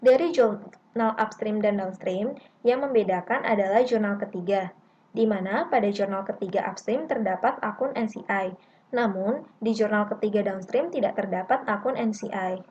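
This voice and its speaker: A woman's voice: mid-range voice, normal speed, darkish tone. A young woman narrating a scripted lesson in a methodical, instructional way. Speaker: accounting lab tutor. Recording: dull lo-fi mic with clear background noise.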